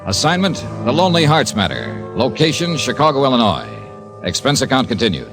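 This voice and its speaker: An actor playing a cool, wry freelance insurance investigator in an old-time radio detective drama. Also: male voice, medium pitch, huge pitch swings, a little fast, over music. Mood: narrating crisply. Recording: vintage radio broadcast recording.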